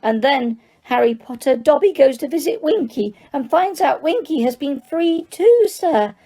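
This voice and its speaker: A woman putting on a squeaky eager house elf. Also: big pitch swings, unhurried, mellow, exaggerated voice. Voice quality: high squeaky voice. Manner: broken grammar, frequent "sir".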